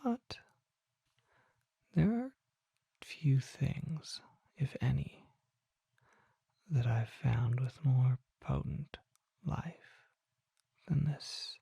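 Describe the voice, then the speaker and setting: An ASMR performer voicing a mysterious, suave vampire apothecary merchant in a breathy whisper, with measured, formal and coy phrasing. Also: mid-range voice, very animated, warm tone, dry recording, exaggerated voice. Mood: hushed, intrigued. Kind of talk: ASMR roleplay, soft whispering.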